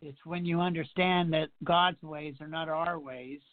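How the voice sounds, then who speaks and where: A man's voice: a little high, fairly even intonation, a little slow. A man in his sixties preaching a radio sermon, stacking up repeated phrases and emphatic rhetorical questions. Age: 60s. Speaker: pastor. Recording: tinny phone line.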